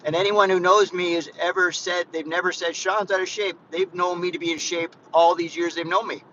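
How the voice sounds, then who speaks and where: Brief: man in his 50s, bright, higher voice, chatty rambling vlogger, dull lo-fi phone audio in a moving car with faint road noise. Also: flat delivery, brisk. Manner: rambling, chatty, frequent restarts and "guys". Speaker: fitness vlogger. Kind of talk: casual live vlog while driving.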